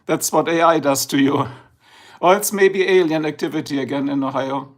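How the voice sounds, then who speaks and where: A man's voice: on the high side, moderate pace. A man from Germany with a German accent, casually chatting on a livestream while showing photos, rambling and a bit drowsy. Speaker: livestream host. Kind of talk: casual live-stream chat. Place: indoors, filming a tablet slideshow.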